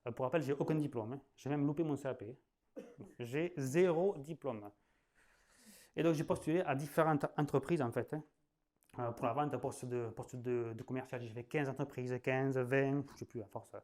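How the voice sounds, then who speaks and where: A man's voice: medium pitch, normal speed, darkish tone. A man in his late thirties with a southwestern French accent, teaching sales in a loose, conversational style. He leans on fillers, restarts his sentences and laughs at his own jokes. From southwest France. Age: 30s. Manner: chatty, loose, full of fillers, laughs at himself. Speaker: sales trainer. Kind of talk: casual spoken masterclass.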